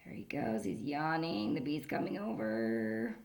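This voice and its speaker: A woman reading a children's picture book aloud in a gentle storytelling manner, sometimes dropping to a whisper. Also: a bass voice, animated, a little slow, a little hiss or hum. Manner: gentle storytelling, drops to whispers for the quiet parts.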